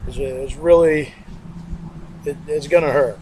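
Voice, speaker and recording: A man's voice: fairly high pitch, fairly even intonation, unhurried, background noise. A man demonstrating a home workout, talking through each step in a plodding, repetitive way and sniffling from congestion. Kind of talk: instructional workout demo.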